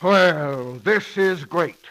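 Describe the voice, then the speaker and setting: An actor playing a flustered, blustering police commissioner who sputters and exclaims in an old radio drama. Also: male voice, high-pitched, extremely slow, fairly bright tone, exaggerated voice, rough recording. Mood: exasperated. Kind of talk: 1940s radio drama acting.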